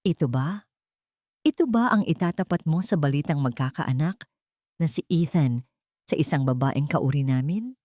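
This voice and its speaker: Cruel elderly rich mother-in-law for story drama, played by a woman narrator: low-pitched, contemptuous, disgusted. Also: lively intonation, normal speed, pauses often, a little mellow, no room sound, clean studio sound. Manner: contemptuous, cutting.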